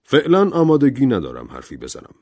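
A man voicing a shrewd, composed judge in an audio story, speaking with measured, questioning authority. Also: medium pitch, wildly swooping pitch, a little mellow, husky, no echo, pitched above the performer's own voice, full character voice. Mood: reserved.

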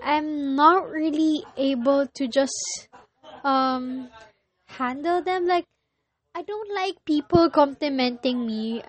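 A young woman with a Filipino accent, whose first language is Filipino, hosting a casual solo podcast and often pausing to search for words. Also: high voice. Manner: hesitant, searching for words with many pauses. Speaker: podcast host.

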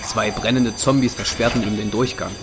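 A man's voice: lowish voice, steady tone, fairly quick, heavy background noise. A man reading scripted narration as the narrator of a German audio drama.

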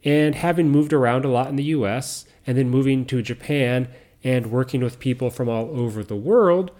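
A middle-aged man with a mixed American accent and faint Southern roots, hosting a podcast in slow, clear teaching talk for learners. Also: mid-range voice. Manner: calm, explains step by step, repeats key points. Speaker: podcast host.

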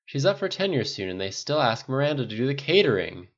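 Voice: mid-range voice, sing-song, fast, lo-fi sound, pitched above the performer's own voice. An audiobook narrator voicing a blunt, loyal military man with an emphatic, indignant, sweary way of talking. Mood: earnest, tipsy.

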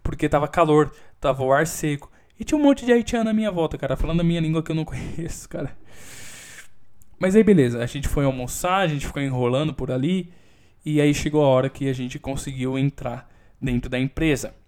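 A young Brazilian man talks through a casual solo podcast from home, his voice sitting a bit on the high side. He swears freely and tells the story with animated bursts that jump up in pitch and volume. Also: brisk, smooth. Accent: Brazilian Portuguese. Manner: swears a lot, animated storytelling with sudden loud outbursts. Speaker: podcast host.

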